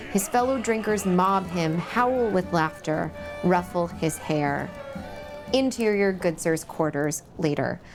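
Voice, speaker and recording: A woman hosting a table read, chatting briskly and then reading stage directions aloud. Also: mid-range voice. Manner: brisk, upbeat, laughs easily. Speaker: host and script narrator.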